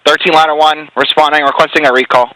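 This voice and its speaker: A man's voice: fairly high pitch, fairly even intonation, quick pace, sharp tone, dry recording, poor audio. A man working as an emergency fire dispatcher, relaying calls over two-way radio in clipped, procedural phrases. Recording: compressed radio dispatch audio with hiss.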